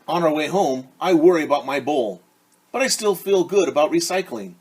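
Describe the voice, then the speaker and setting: A man's voice: on the high side, lively intonation, moderate pace, darkish tone, breathy edge, voice pushed up. A man voicing an eager, worried pet dog who tells a picture-book story in simple words. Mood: earnest.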